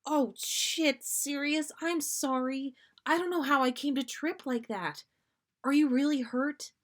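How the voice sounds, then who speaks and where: Storybook role: woman as mild man, earnest, flustered, forever apologising, raised pitch. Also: a little slow. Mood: flustered, apologetic.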